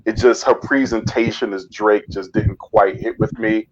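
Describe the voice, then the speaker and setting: A man's voice: lowish voice, steady tone, moderate pace, a little hiss or hum. Man reviewing on a dull-sounding call. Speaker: podcast guest reviewer. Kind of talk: casual chat.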